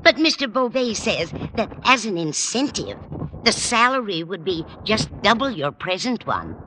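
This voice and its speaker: A woman's voice: medium pitch, big pitch swings, moderate pace, lightly acted. A woman playing a chatty, kindly older landlady, fluttery and motherly, in an old radio sitcom. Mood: earnest, kindly. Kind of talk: 1940s-50s radio sitcom acting. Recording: noisy old-time radio recording.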